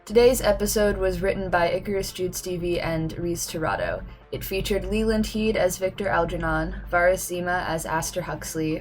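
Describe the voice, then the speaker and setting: A woman's voice: on the low side, poor audio. A woman announcer reading scripted podcast credits. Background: music.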